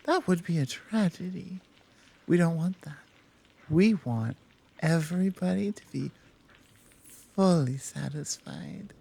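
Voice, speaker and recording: A woman voicing a gentle, teasing Amazon healer in a soft, intimate ASMR roleplay, speaking in a soothing, coy, deliberate way. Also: lowish voice, animated, gravelly, slightly rough, exaggerated voice.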